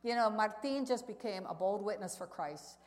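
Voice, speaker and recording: A woman's voice: mid-range voice, expressive, moderate pace. A woman giving an earnest, conversational church testimony talk as a missionary speaker, telling stories and putting questions to her listeners. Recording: echoey hall with long reverb.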